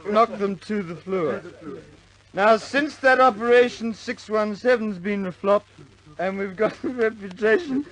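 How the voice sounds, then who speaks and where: A man's voice: high voice, unhurried, fairly bright tone. An actor playing a drunk, boisterous RAF airman, rambling and stammering in 1950s war film style. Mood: proud, tipsy. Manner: rambling, stammering, repeating himself. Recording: noisy crowded room, old film soundtrack.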